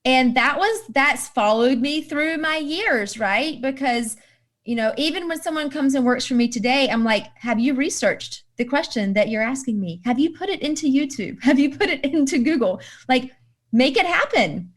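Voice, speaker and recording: Upbeat woman in her thirties, high voice. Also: expressive, moderate pace. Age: 30s. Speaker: guest. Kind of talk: casual interview answers.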